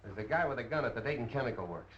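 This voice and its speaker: An actor playing a brusque, no-nonsense police detective with a curt, businesslike delivery, in 1930s film style on an old muffled soundtrack. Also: male voice, medium pitch, lively intonation, rapid-fire, background noise, lightly acted. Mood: brisk, curt.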